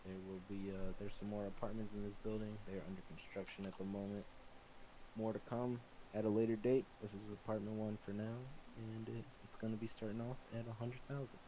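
A man running an improvised auction in an online roleplay game, repeating bids with hesitant pauses. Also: lowish voice, flat delivery. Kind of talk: live improvised auction calling. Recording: noisy voice-chat with clear background noise.

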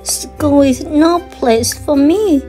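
A woman voicing a sensitive young girl mouse in a storybook, with drawn-out, emphatic delivery. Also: sits high, warm tone, soft background music, voice pushed up. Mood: miserable. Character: sensitive young girl mouse who adores her name.

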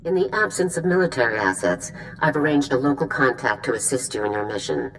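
Very low-voiced commanding general. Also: female voice, expressive, brisk, a bit bright, slight background noise, poor audio. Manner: formal, commanding. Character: stern older female military general giving orders. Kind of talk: video game voice acting, mission briefing.

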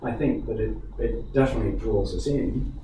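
A man's voice: fairly low pitch, moderate pace. A man hosting a gallery conversation, easygoing and prompting questions from the audience. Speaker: conversation host and moderator. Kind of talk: moderating a gallery talk. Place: small gallery room with seated audience. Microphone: far camera. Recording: echoey room from far off, background noise.

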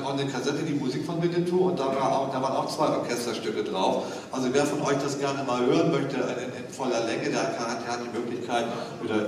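A man giving a casual, explanatory answer as a guest at a panel. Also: mid-range voice. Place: large hall stage, recorded from the audience. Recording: echoey hall, distant, faint noise.

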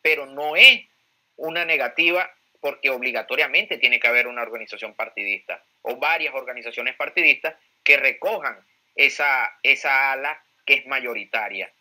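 A man's voice: medium pitch, moderate pace, bright, forceful tone. A Venezuelan man giving political commentary as a pollster, emphatic, repeating key phrases and posing rhetorical questions. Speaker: pollster, guest speaker.

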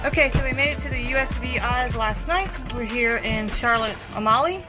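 A woman in her thirties gives a relaxed, matter-of-fact update to a handheld camera on her sailboat. Her voice sits slightly high. Clear background music runs beneath her. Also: moderate pace. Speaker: sailing vlog co-host. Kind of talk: casual vlog narration. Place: sailboat and dinghy outdoors.

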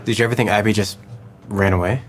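A man's voice: on the low side, steady tone, fast, close to an everyday voice. An actor playing a tentative, skeptical teenage boy podcaster in an audio drama. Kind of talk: naturalistic audio drama acting. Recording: clear background ambience noise.

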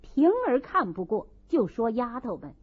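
A woman's voice: on the high side, big pitch swings, normal speed, slightly rough, highs cut off. A woman narrating a classic novel as a dramatic audiobook.

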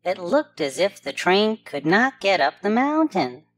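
A woman reading a storybook aloud to preschoolers with clear, deliberate enunciation. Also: medium pitch, very animated. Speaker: children's storybook narrator.

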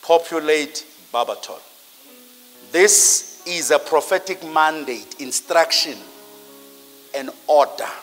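Middle-aged man, South African accent, sermon delivery, emphatic repetition, slow-paced, sharp bright tone. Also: a little high, in a large hall, faint music behind. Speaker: preacher. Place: large church hall.

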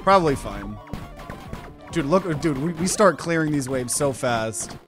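A man in his twenties streaming a game, chatting with viewers, excitable and swearing freely. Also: a little high, measured pace, over music. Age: 20s. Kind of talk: live gameplay commentary.

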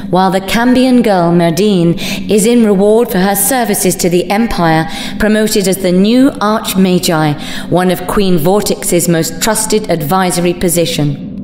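A woman's voice: mid-range voice, a little slow, a little hiss or hum. A woman giving a grave, dramatic scripted narration of a science-fiction legend. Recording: echoing voice under loud music. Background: loud music.

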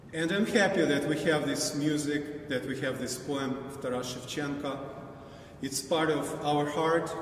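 Man, middle-aged, Ukrainian accent, slow, heartfelt, halting, booming church echo, distant mic. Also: a little hiss or hum. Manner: emotional, halting, searching for words. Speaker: choir singer. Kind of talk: heartfelt personal testimony. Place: large church interior. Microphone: far camera mic. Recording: echoey church from far off.

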